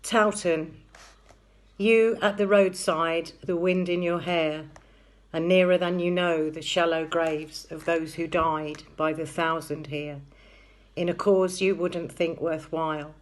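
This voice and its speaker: A woman reciting poetry with measured phrasing. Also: fairly low pitch, fairly even intonation. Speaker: poetry reader.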